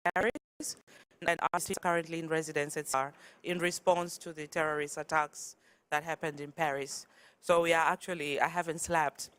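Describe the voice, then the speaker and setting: A tired woman from Zimbabwe speaks earnestly at a conference, in a fairly low voice. She stumbles and restarts a little as she goes. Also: a bit bright, smooth. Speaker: conference speaker, artist and curator. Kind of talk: conference talk. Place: conference hall.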